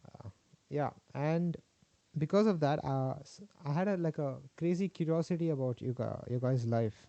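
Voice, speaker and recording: A man hosts a relaxed podcast chat, his voice very mellow and a touch higher than most men's. He speaks hesitantly, with plenty of fillers. The sound is dry and dull, like a lo-fi recording. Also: normal speed, no room sound. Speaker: podcast host. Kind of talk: casual interview.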